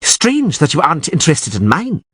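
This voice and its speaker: A fierce, proud queen, voiced by a man, drawls her words with icy defiance. The voice sits higher than a man's usual and carries a husky grain in a dry, close sound. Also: huge pitch swings, normal speed, fairly bright tone, no room sound, heavily acted. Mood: defiant.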